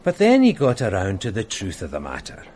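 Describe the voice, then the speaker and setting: An actor playing a loyal, gossipy police sergeant in a radio drama, telling tales in a chatty way. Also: male voice, on the low side, wildly swooping pitch, fairly quick, darkish tone, a little hiss or hum. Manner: chatty, storytelling.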